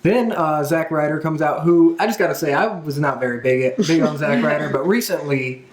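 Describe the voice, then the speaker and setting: A man's voice: fairly high pitch, moderate pace. A man hosting a podcast, chatting casually and with a fan's enthusiasm as he recaps events in a roomy, echoing space. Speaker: podcast host. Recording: roomy, echoing room sound.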